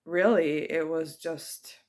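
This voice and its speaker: A woman giving a personal spiritual reflection to her viewers. She speaks in a hesitant, soft-spoken and heartfelt way, with many ums. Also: sits low, fairly even intonation, takes it slowly. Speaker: spiritual vlogger.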